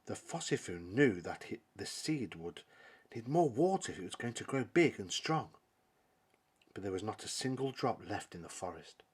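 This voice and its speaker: A man reading a children's picture book aloud as a dramatic narration, with theatrical delivery and emphatic pauses. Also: on the low side, sing-song, husky. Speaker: storybook reader.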